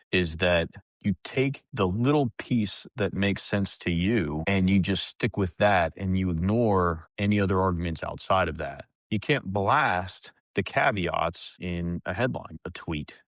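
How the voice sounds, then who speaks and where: A man co-hosting a casual podcast as a psychiatrist, earnest and analytical in a measured way. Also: low voice, no echo, studio quality.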